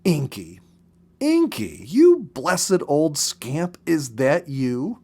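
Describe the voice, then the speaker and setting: Man reading as male nature lover, storybook dialogue, affectionate, mock-scolding, teasing, expressive. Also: on the high side, very slow, husky, exaggerated voice. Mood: delighted, tender.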